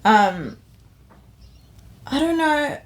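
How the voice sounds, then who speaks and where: A woman's voice: sits high, big pitch swings, very slow. A young woman from Indonesia co-hosting a podcast, chatting enthusiastically and a bit rambly about a show she saw. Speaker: podcast co-host. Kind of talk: casual review chat.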